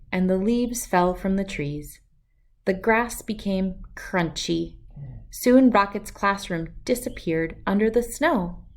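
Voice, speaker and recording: A woman narrates a children's storybook. Her delivery is slow and deliberate. The pitch is animated and varied, giving the scripted lines a dramatic, expressive shape. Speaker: storybook reader.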